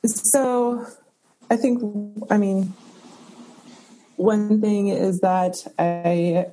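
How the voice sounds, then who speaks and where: A woman's voice: mid-range voice, fairly even intonation, extremely slow, dark tone, soft background music, low-quality recording. A woman in a group session sharing something personal, hesitant and searching for words, over a connection that cuts in and out. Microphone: unstable call connection.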